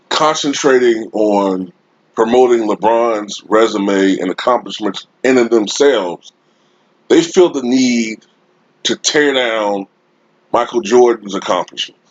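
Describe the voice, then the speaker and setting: A man with a deep, husky voice talks slowly and emphatically, like an informal sports commentator addressing his online viewers. He stretches out his points in a casual, opinionated rant. The desktop USB microphone recording is close and dry but somewhat dull. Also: dry recording. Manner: emphatic, informal, drawn-out delivery with frequent rhetorical tags. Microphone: Blue Snowball-type desktop USB microphone.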